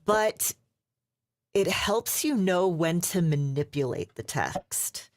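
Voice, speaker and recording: A woman's voice: fairly low pitch, lively intonation, slow pace, no echo, studio quality. A woman audiobook narrator chatting thoughtfully in a casual panel, with small hesitations.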